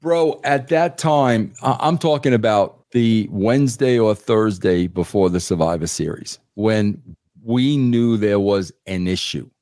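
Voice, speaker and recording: A man with a raspy, husky voice telling an anecdote as a podcast host, emphatic and halting, in a buddy-to-buddy way. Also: lowish voice, a little mellow, dry recording, clean studio sound. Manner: emphatic, halting, punctuated with "bro". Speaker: podcast host and former TV wrestling writer.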